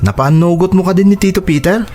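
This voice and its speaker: A man's voice: sits high, quick pace, darkish tone, close to an everyday voice. An actor playing a concerned young Ilocano man, an encouraging cousin with an urging manner, in a radio drama.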